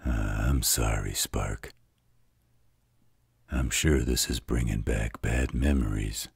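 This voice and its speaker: A man playing a gruff, protective demon father in a fantasy audio roleplay, with a gravelly voice and a reassuring drawl. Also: fairly even intonation, slow pace, no room sound, exaggerated voice. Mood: tender, soothing. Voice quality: very deep, gravelly husky voice.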